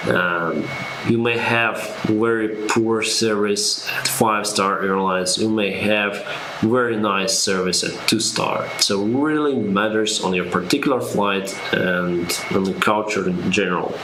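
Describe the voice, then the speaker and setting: A man from Ukraine with a Russian accent, an airline pilot answering viewer questions on a live stream, speaking slowly with many fillers. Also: on the low side, echoey room, noisy background. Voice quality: slow, halting speech with fillers.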